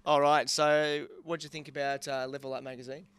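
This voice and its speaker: A man's voice: a little high, flat delivery, a little fast, a bit bright, smooth, dry recording. A man co-hosting a casual studio chat on a couch, teasing and joking with his co-host, speaking into a handheld mic. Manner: playful, teasing banter.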